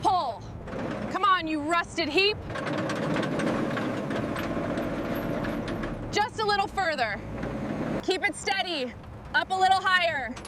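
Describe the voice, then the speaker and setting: A woman's voice: very high voice, measured pace. A woman playing a determined heavy truck restorer, giving terse, scripted work chatter to herself and her crew. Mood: urgent, commanding. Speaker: mechanic. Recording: noisy, with vehicle and machinery sounds.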